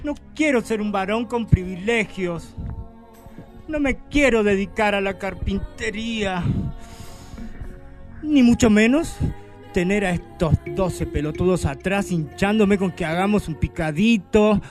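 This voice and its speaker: A man's voice: high voice, measured pace, faint music behind. A man with an Argentine accent playing an earnest young adult child who hesitantly confesses that he refuses to be a man, partly singing, in a radio comedy sketch. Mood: confessing. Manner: hesitant, confessional, half sung.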